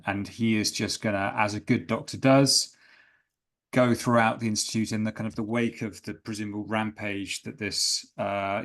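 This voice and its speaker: A man playing a tabletop roleplaying game, describing his character's actions in measured, storylike phrases. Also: fairly low pitch, fairly bright tone.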